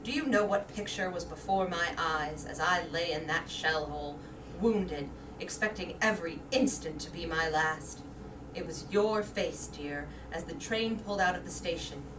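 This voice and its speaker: A woman's voice: lowish voice, moderate pace, close to an everyday voice. A woman playing a vain, anxious middle-aged wife in a staged reading, with a theatrical, emotional delivery. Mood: tender. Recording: distant roomy stage recording with background noise.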